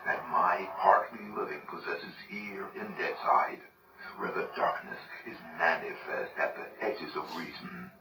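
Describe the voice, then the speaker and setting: Game villain: deep, whispery serpent god of death, grandiose, distant and echoey with noise behind. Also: male voice, expressive, a little slow. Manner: rambling, hissing, whispered pronouncements. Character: grandiose male serpent lord of the dead.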